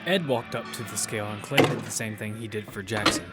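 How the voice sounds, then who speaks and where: A man's voice: on the low side, brisk, lightly acted. Man acting as casual young guy over music. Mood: narrating. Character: casual young male gamer, confident teasing friend. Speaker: narrator and lead character. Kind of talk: first-person audio fiction narration and dialogue.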